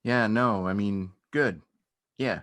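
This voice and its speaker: Low-pitched male actor as a shy beekeeper; halting, understated, earnest stage dialogue. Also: fairly bright tone. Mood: awkward, friendly. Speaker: amateur or local theatre actor. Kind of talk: naturalistic dramatic reading of a stage dialogue.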